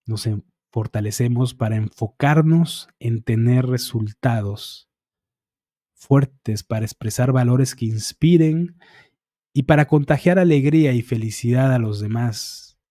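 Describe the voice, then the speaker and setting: Man, middle-aged, energy healer, calm measured recitation, warm mellow voice, close studio mic, dry sound. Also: mid-range voice, no echo. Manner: calm, measured recitation of repeated affirmations in long lists; eyes often closed, fingertip to temple, concentrating. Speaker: energy healing practitioner. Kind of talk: guided affirmation session. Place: small dark room, indoors. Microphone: studio microphone on boom arm, close.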